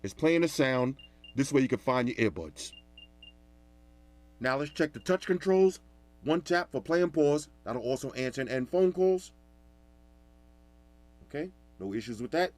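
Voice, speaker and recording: A man giving a casual, animated product review in a loud, talkative voice. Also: mid-range voice, measured pace, pauses often, no room sound. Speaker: tech reviewer.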